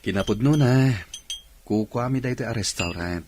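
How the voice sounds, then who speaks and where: A man's voice: mid-range voice, normal speed, noisy background, natural voice. Actor as courteous restaurant boss, mid-range male voice, accommodating radio drama delivery. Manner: polite, accommodating. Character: hospitable orphaned restaurant owner, courteous young boss. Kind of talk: Ilocano radio drama acting.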